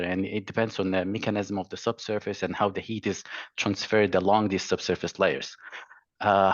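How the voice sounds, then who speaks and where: A man's voice: low voice, no echo, dull audio. A young adult man with an Egyptian accent, explaining things as a panelist. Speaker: panelist, geophysicist.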